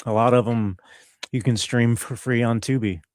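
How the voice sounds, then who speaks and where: A man, a composer guest, answering casually in a relaxed, modest way with short replies. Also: mid-range voice, normal speed, no room sound, studio quality. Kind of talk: casual interview chat.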